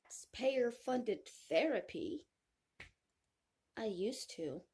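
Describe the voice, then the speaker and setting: A woman's voice: fairly high pitch, sing-song, extremely slow, a little mellow, slightly rough. A woman in her thirties speaking earnestly to camera in a personal video, complaining. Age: 30s. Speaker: video creator.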